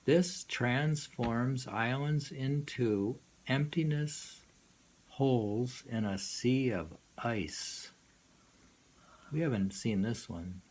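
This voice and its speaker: A man reading poems aloud informally and musing on them in a halting way, often repeating lines. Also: medium pitch, a little mellow. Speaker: poetry reader and commentator. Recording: noisy amateur recording.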